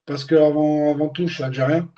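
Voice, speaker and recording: A man's voice: on the high side, steady tone, fairly quick, mellow, highs cut off. A man born and raised in France, a former footballer speaking candidly as an interview guest, often repeating words as he searches for them. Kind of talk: casual interview.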